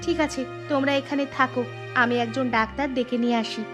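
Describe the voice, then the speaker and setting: Female cartoon voice, high and chatty, familiar village manner, clear music behind. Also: fairly even intonation, fairly quick, highs cut off. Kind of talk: cartoon dialogue voice acting.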